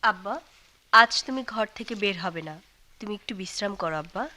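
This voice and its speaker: Woman acting worried young daughter in a radio play, pleading, doting, bright sharp voice. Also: medium pitch, a little fast, lightly acted. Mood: concerned coaxing. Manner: pleading, solicitous. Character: caring, anxious young daughter doting on her father.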